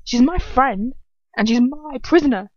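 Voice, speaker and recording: A malicious aristocratic man, as a woman reader puts him on, drawling and full of contempt. He sneers through his storybook lines at a middling pitch for a female voice, recorded close and dry. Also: steady tone, moderate pace, dry recording, lo-fi sound, natural voice. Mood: contemptuous.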